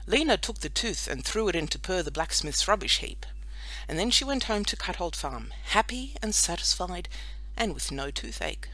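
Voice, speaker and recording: A woman narrating a children's audiobook in an expressive, scripted storytelling style. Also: on the low side, moderate pace, poor audio.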